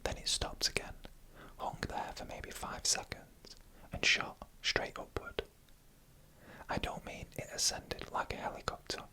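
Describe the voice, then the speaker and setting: ASMR storyteller for creepy tales: fully whispered, breathy, bright, hushed and deliberate phrasing. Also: low-quality recording. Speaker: narrator reading online stories.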